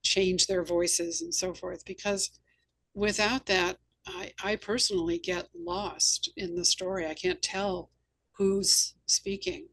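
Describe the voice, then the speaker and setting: A woman hosting a casual author conversation, speaking in articulate, measured phrases. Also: fairly low pitch, steady tone, fairly bright tone, husky, no room sound, poor audio. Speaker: podcast host, novelist.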